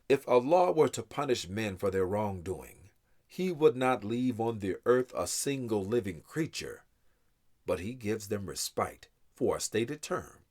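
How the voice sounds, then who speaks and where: A man reads scripture in a slow, reverent, measured way, pausing with care between phrases. His voice sits fairly low. The delivery is steady and solemn throughout. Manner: measured, reverent reading with deliberate pauses. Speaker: scripture reader.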